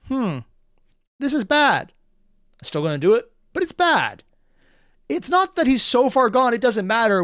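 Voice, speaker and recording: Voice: medium pitch, smooth, dry recording, studio quality. A reaction host giving casual, blunt commentary, musing aloud and swearing freely.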